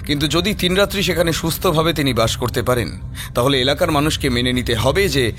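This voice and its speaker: A man's voice: on the high side, animated, a little fast, fairly bright tone, soft background music. A man narrating a scripted horror audio story in a storytelling style.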